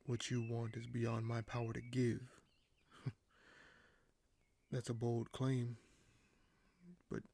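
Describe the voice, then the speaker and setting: An actor playing a scheming, courteous young king in an audio roleplay, speaking formally and hesitantly with deliberate pauses. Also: mid-range voice, creaky, a little mellow, breathy edge. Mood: earnest, probing. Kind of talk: audio roleplay acting, addressed to the listener.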